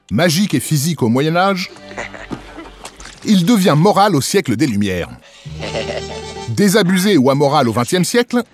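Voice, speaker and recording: A man reading a scripted narration as the voice of a magazine show. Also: on the high side. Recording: voice-over with faint music. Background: faint background music.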